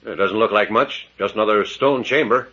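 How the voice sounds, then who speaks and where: A muffled vintage radio recording of a steady adventure leader. His low, husky voice moves with animation through questions and firm resolve. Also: normal speed. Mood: questioning. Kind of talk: 1940s radio acting.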